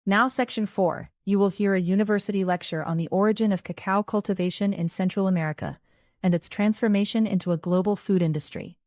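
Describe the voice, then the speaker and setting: A woman reading exam instructions in a formal, measured way. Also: mid-range voice, fairly even intonation, darkish tone, dry recording, studio quality. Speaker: test narrator.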